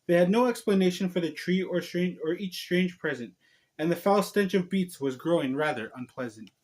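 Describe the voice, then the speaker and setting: A man's voice: sits high, normal speed, darkish tone. A man reading a storybook aloud and chatting casually to viewers, with a playful, giggly manner.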